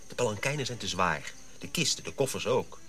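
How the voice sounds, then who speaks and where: An actor playing a dutiful, questioning Dutch colonial officer, earnest and precise, in a radio drama. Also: male voice, on the low side, animated, fairly quick, bright, forceful tone. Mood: concerned.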